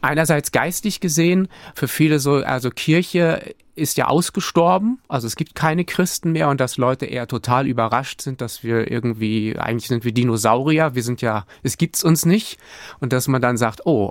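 A man from Hamburg talks as an interview guest, in a middle-pitched voice. He thinks out loud, restarting sentences and pausing with fillers. The studio sound is close and dry. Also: no room sound. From Hamburg, Germany. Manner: thoughtful, many fillers and restarts. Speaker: church planter, interview guest. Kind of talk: radio interview answer.

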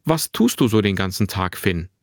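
A man's voice: on the low side, expressive, moderate pace, no echo, studio quality. A man voicing a friendly, easygoing and slightly bemused grown man on holiday, in dialogue from a narrated story. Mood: friendly, curious.

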